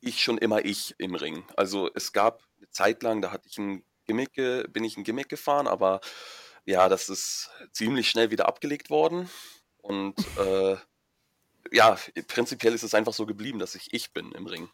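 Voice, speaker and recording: A man with a fairly low voice, interviewed over a remote call. The sound is dry with no room echo, and he answers in halting, restarting sentences. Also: a bit bright. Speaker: interview guest, wrestler.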